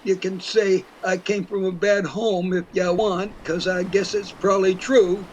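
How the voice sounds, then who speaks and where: A man acts out a high-pitched old New England yarn-spinner in his eighties, folksy, stubborn and fond of repeating himself. He takes his time over every phrase in this audio play, with some steady noise behind him. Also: a little slow, lo-fi sound. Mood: matter-of-fact. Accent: rural New England. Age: 80s. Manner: rambling, repetitive, folksy dialect. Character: folksy, stubborn eighty-four-year-old New Hampshire whiskey drinker. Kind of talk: first-person audio play storytelling.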